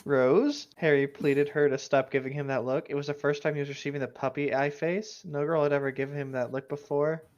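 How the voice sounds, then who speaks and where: A man's voice: a little high, fairly even intonation, fairly quick, hardly any pauses, muffled recording. A man reading aloud casually and breaking off for sardonic, sweary asides. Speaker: co-host of a fan fiction reading show.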